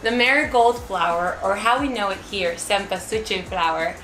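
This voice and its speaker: A woman's voice: medium pitch, moderate pace, fairly bright tone, roomy sound, faint music behind, low-quality recording. A woman from Mexico presenting an upbeat, step-by-step craft tutorial.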